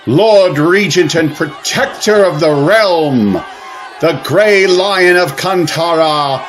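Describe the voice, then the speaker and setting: A man's voice: fairly high pitch, takes it slowly, some chatter behind. A man playing a deferential royal servant and herald in an audio drama, speaking formally. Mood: proud, proclaiming.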